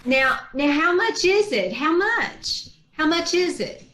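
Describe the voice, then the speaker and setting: A woman's voice: extremely high pitch, lively intonation, slow, fairly bright tone, heavily acted. A woman playing a gullible, easily smitten schoolteacher in an old-time radio comedy, stammering and flustered, with dry wisecracks. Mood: eagerly smitten. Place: video call reading from a room. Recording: echoey room, clicks, background noise.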